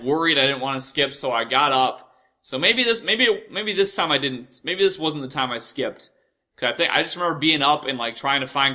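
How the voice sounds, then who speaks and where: A young American man with a bright, forward voice at an average pitch. He tells personal stories loosely and casually, full of swearing, repeats and self-corrections. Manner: profane, repetitive, halting and self-correcting. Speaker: host of a personal storytelling show. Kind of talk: casual rambling personal storytelling.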